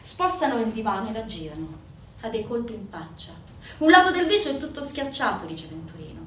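A woman stage actress giving a grave, measured theatrical narration with dramatic pauses. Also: fairly high pitch, sing-song, slight background noise. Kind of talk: theatrical narration of a true crime story. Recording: echoey stage, distant mic, music.

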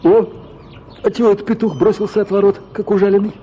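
An actor playing an idle male houseguest who makes quick, curious remarks. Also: high voice, fairly even intonation, dark tone, dull audio, slight background noise, lightly acted. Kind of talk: radio play acting.

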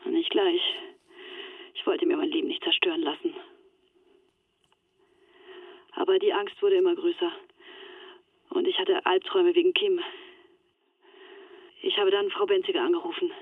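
A woman's voice: on the low side, steady tone, moderate pace, long pauses, dry recording. An actress playing an anxious, resigned young woman in a German radio drama, speaking earnestly through a telephone filter. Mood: troubled, resigned. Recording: thin, band-limited telephone line.

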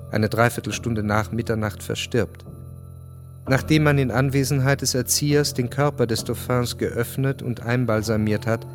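An actor as the sober, literary narrator of a historical radio drama, telling it in a measured way. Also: male voice, mid-range voice, natural voice.

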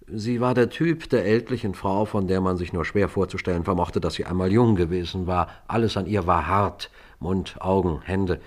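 Low-voiced, measured police detective. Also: male voice, darkish tone. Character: male police detective narrating a murder inquiry. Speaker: detective and narrator. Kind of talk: radio play acting and first-person narration.

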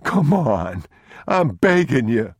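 A man's voice: a bass voice, animated, slow, slightly rough, dry recording, clean studio sound, exaggerated voice. A man voicing a starving, shivering thief in an audiobook, begging in a strained, desperate way.

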